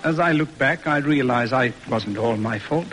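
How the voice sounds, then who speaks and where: A man's voice: mid-range voice, moderate pace, fairly bright tone, faint music behind, lightly acted. An actor playing a stern, exasperated father in a formal, emphatic 1940s radio drama style. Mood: weary exasperation. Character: exasperated stern father disappointed in his son. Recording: noisy old radio broadcast recording.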